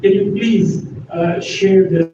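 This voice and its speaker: A man's voice: sits high, steady tone, takes it slowly. An older man giving a chatty, joking talk to a university audience as guest speaker. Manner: chatty, jokes and laughs with the audience. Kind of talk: informal lecture to an audience. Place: university lecture hall. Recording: echoey room, distant mic, dull lo-fi sound with noise.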